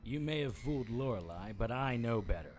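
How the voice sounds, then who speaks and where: A man's voice: mid-range voice, lively intonation, brisk, a little mellow, natural voice. A man playing a wisecracking, defiant private investigator in an audio drama, with a cocky, sardonic delivery. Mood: defiant taunting. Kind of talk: audio drama acting with first-person noir narration.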